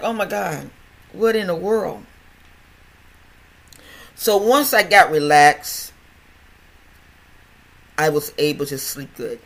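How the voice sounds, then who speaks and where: An older podcast host with a stutter, talking in a chatty, rambling way with many restarts and repeated words. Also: mid-range voice. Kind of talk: casual health podcast monologue.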